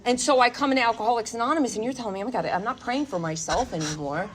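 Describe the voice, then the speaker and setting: A woman's voice: on the high side, very fast, slight background noise. A woman speaking at a recovery workshop, giving an earnest, confessional personal testimony full of "like" and "you know". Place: workshop meeting room.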